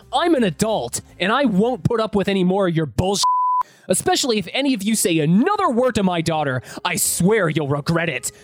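A protective, hot-tempered dad played by a woman narrator, blunt and forceful in a storytelling video. The pitch moves a lot from phrase to phrase, over faint music. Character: angry protective father standing up to bullies.

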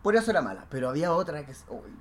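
A man with a Chilean accent chatting casually as a podcast guest, telling stories in a halting, slangy, animated way. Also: on the high side. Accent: Chilean Spanish. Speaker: podcast guest, stage actor. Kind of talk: casual anecdotal chat.

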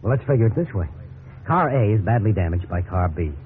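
A man's voice: fairly low pitch, sing-song, brisk. An actor playing an easygoing but worried middle-aged family man, talking in a folksy, conversational 1950s radio drama style. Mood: calm, reassuring. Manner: conversational, reasoning aloud, folksy. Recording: tinny, noisy 1950s radio recording.

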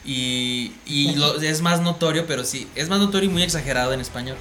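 A man with a Mexican accent chatting casually, slangy and animated as he retells scenes. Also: fairly high pitch, fairly quick, roomy sound, over music. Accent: Mexican Spanish. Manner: slangy, animated storyteller who acts out scenes. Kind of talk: casual chat about an anime series.

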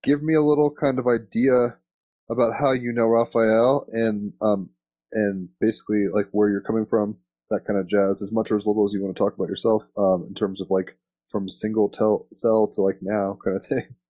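A man's voice: lowish voice, flat delivery, moderate pace, highs cut off. A man hosting a casual interview, rambling along in laid-back, slangy phrasing. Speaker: interviewer and host.

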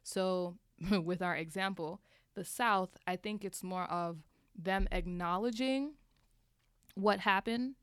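A woman's voice: mid-range voice, slow, smooth, no echo, studio quality. A woman thinking aloud in a casual group discussion, hesitant and thoughtful. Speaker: discussion participant.

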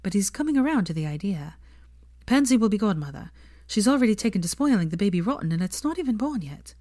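A woman's voice: mid-range voice, sing-song, fast, warm tone, no echo, studio quality, pitched above the performer's own voice. Prim young woman, lifted and lilting woman narrator's voicing. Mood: chatty. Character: pregnant young woman, prim, earnest, awkwardly warm. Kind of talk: acted audiobook dialogue.

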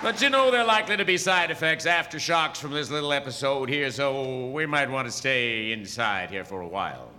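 Bright-voiced folksy old wizard, hall reverb. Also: male voice, mid-range voice, fairly quick, few pauses, slight background noise. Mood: matter-of-fact, amused. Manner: folksy, hesitant, drawling asides. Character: wry, easygoing old wizard man with folksy wisdom. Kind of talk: live audio theatre comedy acting. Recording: hall reverb of live stage performance.

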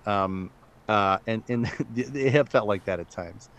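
A man co-hosting a podcast, who chats in a relaxed way with plenty of fillers and a few laughs. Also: on the low side, slow pace. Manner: relaxed, chatty, many fillers. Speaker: podcast co-host. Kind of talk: casual conversation.

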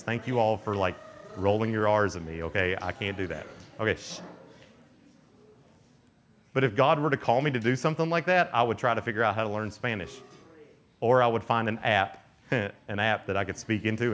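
A young man giving a casual, joking sermon to teenagers at a youth camp, often acting out little voices. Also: fairly low pitch. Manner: animated, joking, acts out quoted voices.

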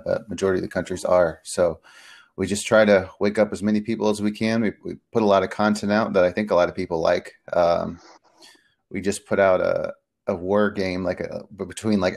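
Gravelly low-voiced male podcast guest. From Peru. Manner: earnest, talkative, many fillers. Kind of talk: casual interview chat.

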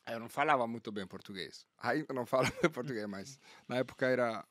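Halting man telling a funny anecdote. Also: medium pitch, fairly bright tone, no room sound, clean studio sound. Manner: halting, restarts, laughs as he tells it. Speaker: guest. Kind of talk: casual conversation, anecdote.

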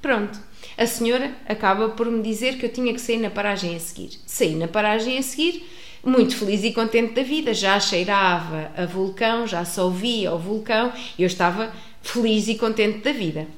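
A woman with a European Portuguese accent telling a personal travel story to an audience, expressive, with many restarts. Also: medium pitch, normal speed. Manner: expressive, acts out dialogue, frequent restarts. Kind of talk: animated storytelling. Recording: roomy echo.

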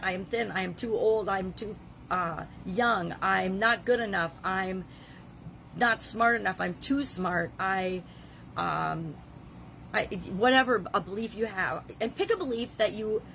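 A middle-aged woman with a gravelly voice from a cold, leading a self-help challenge in an earnest, conversational way. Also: mid-range voice, a bit bright, a little hiss or hum. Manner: earnest, conversational, with frequent restarts. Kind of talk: motivational talk to camera.

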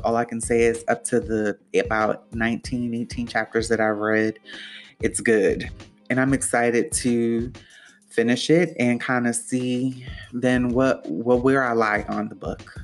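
A young man hosting his own podcast, talking casually with lots of fillers and restarts in a soothing voice. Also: mid-range voice. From Puerto Rico. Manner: rambling, chatty, many fillers and restarts. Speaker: podcast host. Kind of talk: casual solo podcast talk.

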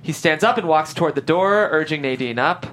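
A woman's voice: deep voice, animated, a little fast. A woman reading stage directions as scripted narration at a table read.

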